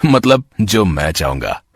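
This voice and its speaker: An actor playing an arrogant, menacing spoiled rich young suitor with a husky, mocking delivery in a story. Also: male voice, lowish voice, a bit bright, heavily acted.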